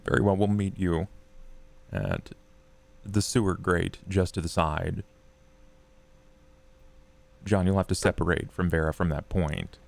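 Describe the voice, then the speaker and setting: A man with a low voice full of fry plays a tabletop roleplaying game. His wording is formal and measured, in character as a planner giving instructions. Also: animated.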